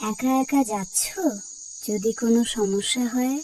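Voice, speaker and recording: A woman's voice: on the high side, a little slow, warm tone, distant mic, a little hiss or hum, lightly acted, rough recording. A woman playing a caring but nagging, anxious village wife in a cartoon folk tale. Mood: worried. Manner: fussing, admonishing.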